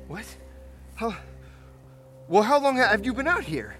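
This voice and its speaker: A man playing an agitated escaped prisoner who questions haltingly and stammers, in an audio drama. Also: extremely high pitch, big pitch swings, bright, forceful tone, faint music behind, exaggerated voice. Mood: incredulous.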